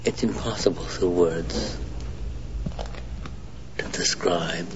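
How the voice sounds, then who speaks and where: An actor playing a brooding, menacing older renegade army colonel, speaking low and slow in a half whisper, in a film clip. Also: male voice, fairly even intonation. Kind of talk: film dialogue. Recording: muffled film audio with background hiss.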